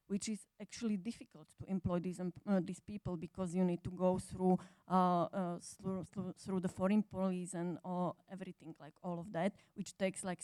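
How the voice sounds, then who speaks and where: A woman's voice: mid-range voice, dark tone, no room sound. A woman answering questions on a business conference panel, chatty, with frequent "like" and "right?". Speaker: panelist, service center manager. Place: conference hall.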